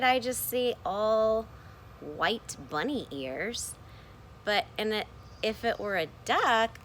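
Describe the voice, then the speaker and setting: A woman with a very lively, swooping delivery talks to small children like a kindergarten teacher. She takes her time and often puts questions to them, with noticeable noise in the recording. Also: mid-range voice, low-quality recording. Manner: expressive, asks questions and pauses for answers. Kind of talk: read-aloud lesson to young children.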